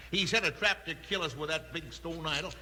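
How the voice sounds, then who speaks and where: Fumbling cowboy sheriff, hesitant, sharp voice on the high side, reverberant old radio. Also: male voice, normal speed. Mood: amused. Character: flustered male western sheriff, lawman's helper. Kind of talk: 1950s radio western acting. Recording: reverberant, faintly noisy old radio recording.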